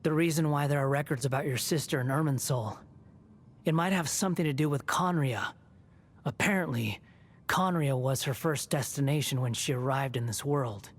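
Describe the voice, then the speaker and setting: A man's voice: mid-range voice, normal speed, lightly acted. An actor playing a proud, bitter young male wanderer in a video game, with a dry, aloof, cutting manner. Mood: guarded, serious. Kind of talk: video game character acting.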